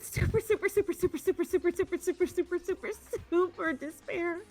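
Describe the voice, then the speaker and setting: Unhinged, squeaky-high teen girl villain. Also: fairly quick, a little mellow, faint music behind. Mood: giddy ecstasy. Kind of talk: amateur voice acting of a video game.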